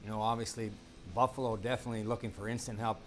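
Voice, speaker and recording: A man's voice: fairly low pitch, little pitch movement, quick pace, faint music behind. A man working as a sports talk interviewer, asking questions in a conversational way. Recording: faint background noise.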